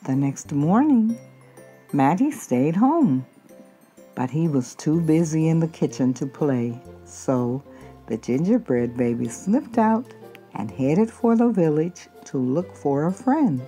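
A woman's voice: low voice, big pitch swings, slow, warm tone, soft background music. A woman reading a children's picture book aloud expressively, drawing out key words. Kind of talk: storybook read-aloud.

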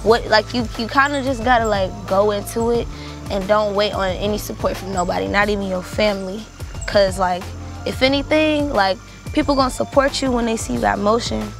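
A woman's voice: medium pitch, fairly even intonation, brisk, music in the background. A woman, talking playfully and laughing often while chatting outdoors. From Mobile, Alabama. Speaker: guest rapper. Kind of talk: casual outdoor interview. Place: outdoors on a hiking trail.

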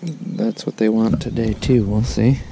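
A man's voice: lowish voice, unhurried, gravelly. A man vlogging while wading in a river, chatting casually and cheering when the fish bite, recorded on a head-mounted camera. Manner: excited, chatty, bursts of cheering. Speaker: angler vlogger. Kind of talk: casual narration while fishing. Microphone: head-mounted action camera. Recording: noisy outdoor action camera audio.

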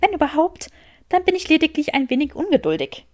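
Prim young heroine for storybook female reader acting, lifted pitch, impatient, quick to protest. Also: sing-song, a little mellow. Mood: defensive. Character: prim, spirited young woman, defensive and impatient.

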